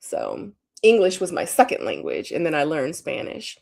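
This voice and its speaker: A woman's voice: low-pitched, huge pitch swings, moderate pace, gravelly, a little mellow. Chatty woman co-hosting a live stream. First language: Korean. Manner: chatty, says "like" a lot. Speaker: co-host. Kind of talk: casual live-stream chat.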